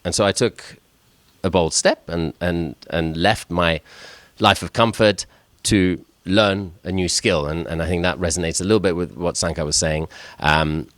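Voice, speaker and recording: A middle-aged man from Switzerland, a chef, tells his life story to a gathering with a deep, low voice. He speaks haltingly and stumbles over repeated words as he begins each thought. The sound is dry and close, with almost no room around it. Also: steady tone, fairly bright tone, no echo. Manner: hesitant, repeats words when starting sentences. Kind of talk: personal story talk.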